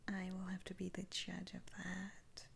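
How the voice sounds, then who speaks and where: A woman playing a stern, principled witch doctor in an ASMR roleplay, speaking in a breathy, halting whisper. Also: lowish voice, monotone, a little hiss or hum. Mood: secretive, probing. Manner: slow, halting, deliberate.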